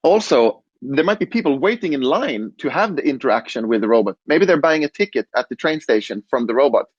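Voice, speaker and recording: A man on a conference panel explaining design, relaxed, with fillers and restarts. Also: medium pitch, fairly quick, slightly rough, dry recording, highs cut off. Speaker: robot interaction designer.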